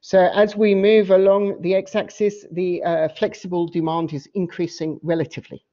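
A woman professor giving an academic lecture, explaining slides in a halting way and often rephrasing mid-sentence. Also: fairly low pitch, flat delivery, dark tone. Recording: dull, muffled lo-fi audio.

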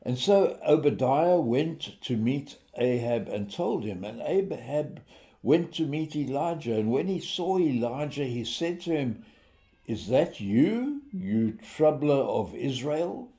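Older man, mellow, expressive pitch, deliberate devotional storytelling. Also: dull audio. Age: 70s. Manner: measured, deliberate storyteller with dramatic emphasis. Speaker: devotional preacher. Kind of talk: informal sermon with storytelling and scripture reading.

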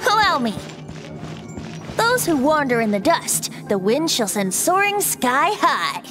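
High, lilting boyish young bard. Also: female voice, sing-song, measured pace, music in the background. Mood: confident, theatrical. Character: playful, breezy, boyish young male bard. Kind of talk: video game character dialogue.

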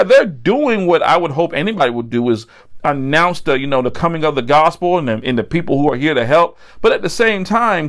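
A middle-aged American man preaching a sermon, phrasing deliberately and repeating key lines for emphasis. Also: fairly high pitch. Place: indoor set with a decorated backdrop.

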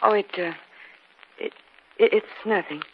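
An impressionable, uneasy wife from a 1950s radio mystery, played by a woman, with a middling pitch. The vintage recording is dull and clearly noisy. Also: a little slow, close to an everyday voice. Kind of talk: 1950s radio drama acting.

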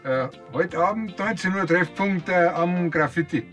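A man's voice: sits high, unhurried, a bit bright, soft background music. An actor playing a self-assured, bumbling small-town policeman with a Bavarian accent. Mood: eager.

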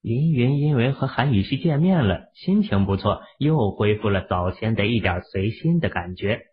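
A man narrating a serialized audio drama in an expressive storytelling manner. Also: on the low side, normal speed. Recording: tinny phone-like audio.

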